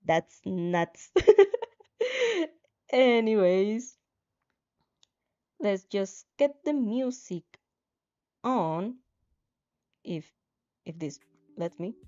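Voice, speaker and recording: Slow-paced, mellow female streamer. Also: mid-range voice, very animated, dry recording. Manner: giggly, rambling, self-interrupting. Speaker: streamer, fanfiction reader. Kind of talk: livestream reading aloud and casual chat. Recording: slightly muffled audio with music behind.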